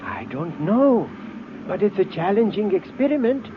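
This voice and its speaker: High-pitched, swooping radio-serial scientist. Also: male voice, sing-song, a little slow, background noise. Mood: musing. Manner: hesitant, musing, emphatic. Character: excitable, hesitant scientist professor, a grown man. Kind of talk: 1950s radio serial acting. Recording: muffled old radio show recording.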